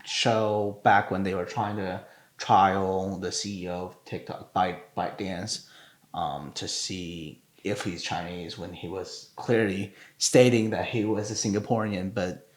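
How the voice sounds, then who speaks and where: Man, podcast co-host, low voice, halting with fillers, echoey room. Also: steady tone. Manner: halting, filler-heavy, restarts phrases. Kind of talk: casual chat.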